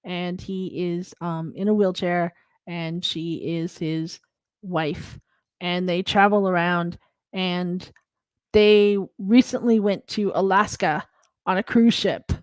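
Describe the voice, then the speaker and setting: A woman's voice: on the low side, fairly even intonation, slow, a little mellow, no echo. A young woman from the Philippines co-hosting a casual podcast, rambling with lots of fillers and trailing off mid-thought. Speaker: podcast co-host. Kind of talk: casual chat.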